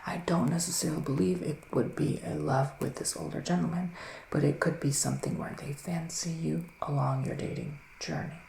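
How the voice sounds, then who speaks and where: A man playing a gentle psychic witch in an ASMR roleplay, speaking in a soft, musing whisper with long pauses. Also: fairly high pitch, steady tone, gravelly, reverberant, rough recording. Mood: hushed, musing. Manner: slow, hushed, musing, with drawn-out pauses. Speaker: ASMR performer giving a psychic reading.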